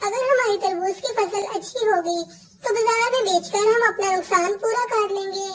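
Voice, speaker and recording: Very high-pitched little cartoon sparrow. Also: female voice, moderate pace, a bit bright. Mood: earnest, helpful. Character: young female sparrow daughter-in-law, dutiful yet sly. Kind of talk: Hindi cartoon voice acting.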